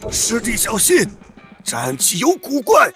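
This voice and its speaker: A man voicing a haughty, condescending old cultivator and senior sect guardian in a fantasy animated comic. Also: high-pitched, measured pace, soft background music, full character voice. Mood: alarmed, wary. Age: older. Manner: pompous, condescending, theatrical.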